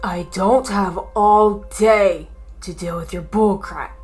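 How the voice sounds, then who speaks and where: A woman's voice: medium pitch, steady tone, slow, a little mellow, faint music behind, pitched below the performer's own voice, natural voice. A woman voicing a mad, frantic young man who scolds in a dramatic demo.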